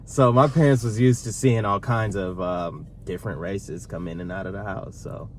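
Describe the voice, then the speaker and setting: A man in his thirties co-hosting a casual sit-down Q&A with his partner, talking in a relaxed, rambling way. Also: low voice, normal speed, slight background noise. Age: 30s. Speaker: vlog co-host.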